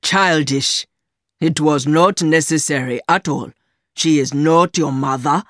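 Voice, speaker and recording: A woman voicing an older rich man, a smooth, condescending and fatherly secret lover in an audiobook story. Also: low voice, takes it slowly, dry recording, clean studio sound, voice pushed down. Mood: stern, dismissive. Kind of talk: acted dialogue in audiobook story.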